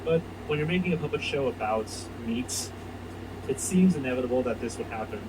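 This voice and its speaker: A man's voice: mid-range voice, animated, brisk. A man reading scripted narration for a countdown video, heard as played back. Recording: distant, noisy playback of a video.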